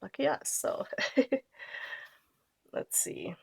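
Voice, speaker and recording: Relaxed nasal woman with a gravelly voice. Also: mid-range voice, very slow. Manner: relaxed, chatty, trails off while working. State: nasal from a cold. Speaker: scrapbooking vlogger. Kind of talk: casual craft tutorial chat.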